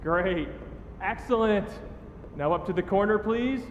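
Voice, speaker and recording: A man playing a polite, brisk motion-capture director in a video game, calling out instructions in an echoey, noisy hall. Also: high-pitched, muffled recording. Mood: businesslike. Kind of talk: video game cutscene acting.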